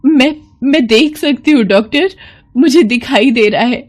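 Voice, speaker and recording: A woman's voice: sits high, measured pace, pitched above the performer's own voice. A woman voicing a poor, blind, honest mother in a moral story cartoon, pleading and sincere in an emotional manner. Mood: overjoyed, amazed. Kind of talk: Hindi animated moral story acting.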